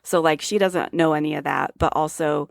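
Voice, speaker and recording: Gravelly low-voiced woman chatting. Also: steady tone, normal speed, dry recording, clean studio sound. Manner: chatty, wry remarks, agreeing often. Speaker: podcast co-host. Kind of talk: casual movie recap chat.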